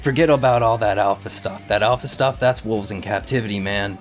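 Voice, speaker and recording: A man's voice: fairly low pitch, fairly quick, natural voice. A man playing an earnest, swaggering dude hero who makes slangy, rambling bro speeches. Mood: earnest speechifying. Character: earnest, swaggering dude hero pitching wolf-pack solidarity. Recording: music behind.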